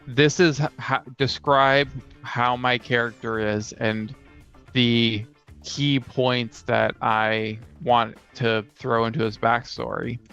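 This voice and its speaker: A man with a gravelly creak and a clean, resonant tone explains things in a measured, casual way as a gaming co-host. The recording is a little dull, with faint guitar music behind. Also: mid-range voice, level tone, a bit bright. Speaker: podcast co-host, game master and player. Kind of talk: casual chat about tabletop gaming. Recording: slightly dull lo-fi sound.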